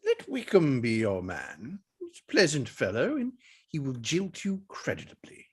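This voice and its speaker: A man's voice: medium pitch, huge pitch swings, measured pace, darkish tone, slightly rough, full character voice. A man playing a wry, teasing older father, genteel and sardonic, in a staged reading of a period comedy. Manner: droll, sardonic.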